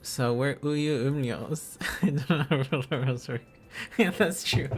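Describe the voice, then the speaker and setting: A man chatting casually as a livestream host, musing aloud in halting, repeated phrases. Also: medium pitch. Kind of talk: casual livestream chat while learning a language.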